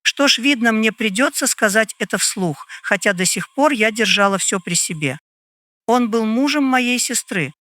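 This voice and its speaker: Man voicing a high-pitched, hardened, guarded landlady. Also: expressive, pushed and bright, dry recording, clean studio sound. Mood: resigned. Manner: reticent, measured, stern. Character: gaunt, hardened, guarded working landlady, middle-aged woman.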